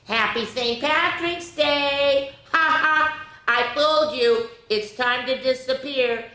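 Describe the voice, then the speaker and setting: A woman's voice: high voice, slow, sharp tone, voice pushed up, heavily acted. A woman voicing a gleeful, teasing little male leprechaun from a storybook, in a singsong, taunting way. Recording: echoey, roomy sound.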